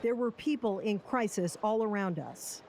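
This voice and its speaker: A woman's voice: medium pitch, normal speed, darkish tone. A woman with an American accent reading scripted narration as a television news reporter.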